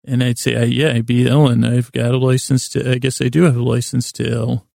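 A middle-aged American man talks in a creaky, gravelly voice that stays very flat. He hosts a meandering bedtime podcast, halting, doubling back and gently putting himself down. The recording is dry and close on the microphone. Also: medium pitch, no echo. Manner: halting, self-deprecating, trails off and circles back. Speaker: sleep podcast host. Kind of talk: rambling, meandering bedtime monologue.

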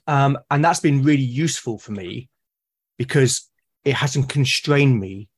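British guy chatting on a podcast, husky voice, keeps tripping over his words and repeating bits. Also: medium pitch, a little slow. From United Kingdom. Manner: hesitant, repeats words when starting sentences. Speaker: guest, artist and podcaster. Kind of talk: casual conversation.

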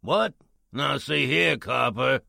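A man acting a gruff, defiant working man at a radio station in a 1940s-style radio drama, stammering and grudging. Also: mid-range voice, takes it slowly, pushed and bright, dry recording, clean studio sound, heavily acted. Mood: indignant, grudging.

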